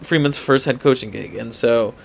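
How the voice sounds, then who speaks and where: A man's voice: medium pitch, moderate pace, creaky. A man co-hosting a sports podcast in casual debate, often hedging and repeating his points for emphasis.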